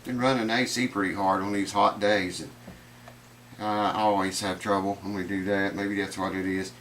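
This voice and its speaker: A man's voice: deep voice, fairly even intonation, moderate pace, a bit bright, slight background noise. A man hosting a guitar repair vlog in his workshop, talking in a folksy, enthusiastic way and sounding congested with a stuffed-up nose. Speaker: guitar repair channel host.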